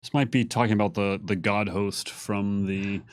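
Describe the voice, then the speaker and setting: A man talking casually and haltingly, thinking aloud as he answers questions. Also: on the low side, fairly even intonation, no room sound, studio quality. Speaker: game developer answering fan questions on a stream. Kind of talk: casual unscripted Q&A talk.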